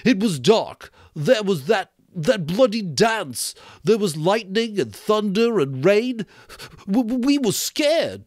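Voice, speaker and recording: A man plays a frightened young boy from a storybook, pitched up high and shrill. He pleads and protests defensively, with excitable swings in a close, dry recording. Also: takes it slowly, no echo, exaggerated voice. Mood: shrill panic.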